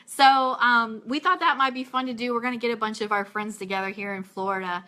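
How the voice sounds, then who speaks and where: A woman's voice: a little high, steady tone, a little fast, bright, forceful tone. An American woman hosting a live-streamed radio show in a chatty, informal way.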